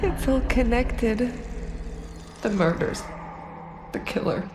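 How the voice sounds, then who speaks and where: An actress playing an anguished traitorous intelligence operative in a video game cutscene, halting and strained as she loses control. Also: a little high, heavy background noise. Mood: strained, struggling. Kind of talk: cinematic dramatic game acting. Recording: roomy echo under loud music.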